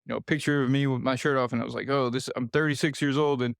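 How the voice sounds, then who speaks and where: A man's voice: medium pitch, fairly even intonation, fast, vocal fry, no room sound, clean studio sound. A man in his thirties hosting a solo nutrition podcast, talking straight to the listener in an earnest, repetitive coaching manner. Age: 30s. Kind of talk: solo podcast advice talk.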